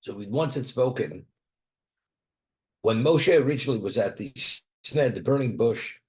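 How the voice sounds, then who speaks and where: A man's voice: medium pitch, lively intonation, moderate pace, a little mellow. Man teaching a Torah class with rhetorical questions. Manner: poses rhetorical questions and answers them; mixes Hebrew and Aramaic terms into English explanation.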